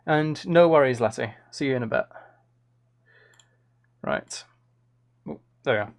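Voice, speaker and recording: A man's voice: mid-range voice, unhurried, gravelly. A man chatting casually as he hosts a live game stream, rambling along and commenting on himself with a lightly wry manner. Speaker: streamer, host. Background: background music.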